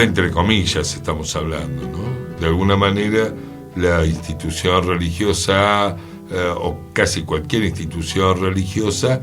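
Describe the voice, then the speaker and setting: A man with a Buenos Aires accent and a fairly low voice hosts a radio conversation. He explains and reflects as he goes, in a conversational way. Faint guitar music plays behind him. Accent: Buenos Aires Argentine. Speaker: radio show host. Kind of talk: conversational talk on theatre history.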